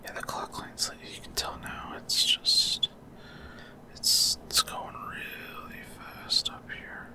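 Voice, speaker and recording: A man whispering casual, rambling gameplay commentary for ASMR in a breathy, unvoiced whisper, often hesitant as he searches for words. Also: slow pace. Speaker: gaming ASMR creator.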